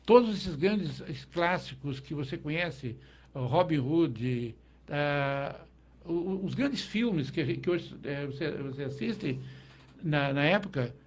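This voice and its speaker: A middle-aged Brazilian man, a teacher, recalling his past in a relaxed interview, with frequent restarts and tag questions. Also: a little high, takes it slowly, slight background noise. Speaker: guest, teacher. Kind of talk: conversational interview.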